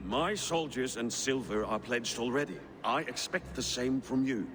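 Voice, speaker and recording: An actor playing a cunning, secretive Viking war chieftain who talks in a conspiratorial, commanding way. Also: male voice, mid-range voice, normal speed, natural voice.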